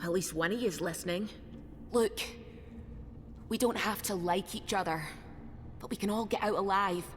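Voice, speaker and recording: A woman's voice: mid-range voice, normal speed, pauses often, in a large hall, a little hiss or hum, close to an everyday voice. A woman playing a blunt, embittered smuggler held prisoner in a video game. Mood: pragmatic, impatient. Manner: blunt, combative, sweary.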